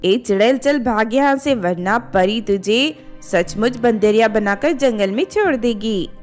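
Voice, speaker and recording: A woman voicing an excitable young girl who exclaims shrilly in a children's story. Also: on the high side, measured pace, soft background music, lightly acted. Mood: mocking. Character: excitable young village girls, crying out or jeering.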